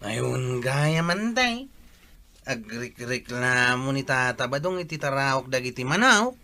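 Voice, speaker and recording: A man's voice: mid-range voice, very animated, a little slow, pushed and bright, heavily acted. A man with an Ilocano Filipino accent playing a wry, conversational villager in a comedy skit. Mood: questioning. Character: casual village man relaying neighbours' complaints. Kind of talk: amateur comedy skit acting.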